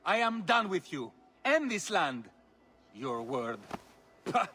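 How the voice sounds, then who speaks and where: A furious ruined farmer, scornful and sarcastic. His voice is bright and sharp, high and swinging, with slow, pointed delivery. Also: big pitch swings, a little hiss or hum, full character voice. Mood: bitter. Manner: sarcastic, bitter.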